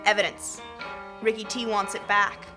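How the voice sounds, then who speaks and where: A woman's voice: medium pitch, level tone, normal speed, music in the background, natural voice. A woman playing an imperilled, earnest femme fatale in an old-time radio detective drama, with a dramatic delivery. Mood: urgent. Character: imperilled femme fatale, urgent, pleading young dame.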